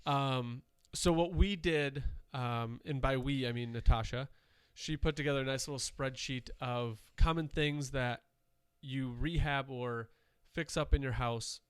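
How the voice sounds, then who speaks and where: A man's voice: mid-range voice, unhurried, hardly any pauses, smooth, no echo. A man co-hosting a podcast, chatting casually and rambling with fillers, a little nasal from dust. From Wisconsin. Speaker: podcast co-host. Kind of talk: casual chat.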